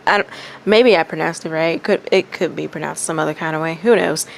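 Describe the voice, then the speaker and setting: Casting: woman with a slightly low voice, informal story-time reader, faint noise in the recording. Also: fairly quick, vocal fry, low-quality recording. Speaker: fan fiction reader and commentator. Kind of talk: casual story-time talk and read-aloud narration.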